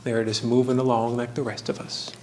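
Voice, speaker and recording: A man narrating a hobby craft tutorial casually, sharing fun facts with jokey asides in short, halting phrases. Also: medium pitch, level tone. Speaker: host of a hobby craft video. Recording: clear background noise, room ambience.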